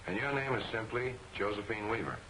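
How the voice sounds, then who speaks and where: Shrewd editor for a 1940s serial: businesslike, fast, commanding, over noisy old film sound. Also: male voice, on the low side, bright, forceful tone. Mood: probing, firm. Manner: clipped, businesslike. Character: shrewd, brisk, authoritative male newspaper editor. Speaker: newspaper editor.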